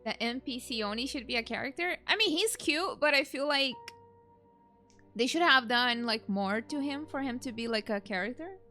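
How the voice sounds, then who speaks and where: A woman's voice: high-pitched, animated, moderate pace, bright, forceful tone. A young woman giving casual, rambling, reactive let's play commentary in an informal way. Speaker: game streamer. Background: game music.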